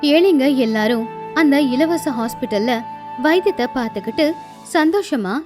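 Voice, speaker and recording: A woman's voice: sits high, lively intonation, normal speed, music in the background. A woman narrating a scripted moral tale as a storyteller.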